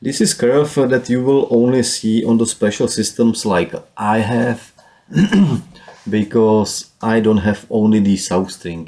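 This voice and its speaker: Czech-accented man with a low, mellow voice. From Czech Republic. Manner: halting, with frequent fillers and restarts. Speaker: solar power hobbyist. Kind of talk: casual screen walkthrough.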